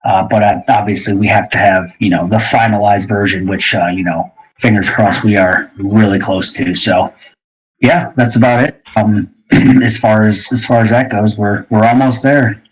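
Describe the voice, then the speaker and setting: A man's voice: on the low side, fairly even intonation, moderate pace, husky, poor audio. A man casually explaining plans as a show host, in an easygoing, hesitant way. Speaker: game creator and show host.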